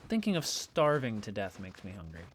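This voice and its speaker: A woman's voice: very deep voice, very animated, normal speed, a little hiss or hum. A woman playing a stubborn, skeptical young friend in a naturalistic audio drama, with a dry, flippant delivery. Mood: dry, casual.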